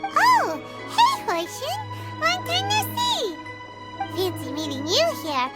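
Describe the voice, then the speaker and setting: A woman voicing a tiny, perky, childlike fairy companion in a video game, squeaky and chirpy. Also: huge pitch swings, slow, loud music behind the voice, full character voice. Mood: cheerful. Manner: chirpy, exclamatory.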